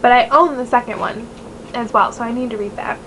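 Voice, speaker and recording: Young woman, nasal, chatty book vlogger; higher voice, hiss on the recording. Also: a little fast. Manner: chatty, rambling, many fillers. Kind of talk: casual chat to camera. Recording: noisy home recording.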